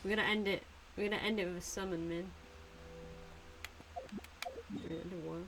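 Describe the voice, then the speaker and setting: A woman's voice: on the low side, fairly even intonation, racing, background noise. A woman giving casual live gameplay commentary, playful and giggly, often muttering to herself. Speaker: streamer playing a video game.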